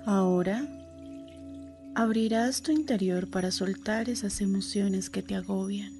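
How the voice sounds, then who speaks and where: Slow-speaking woman guiding meditation over music. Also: mid-range voice, dark tone. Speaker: meditation guide. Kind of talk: scripted guided meditation.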